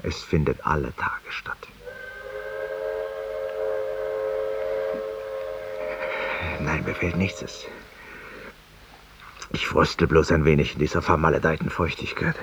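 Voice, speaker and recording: A man's voice: rumbling low, steady tone, moderate pace, heavy background noise. An actor playing a world-weary, eloquent, guilt-ridden man who confesses intimately to an unseen companion in a 1950s radio drama monologue. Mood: confiding, weary. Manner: intimate, rhetorical, addressing an unseen companion, sometimes dropping to a whisper. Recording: muffled, distant 1950s radio recording.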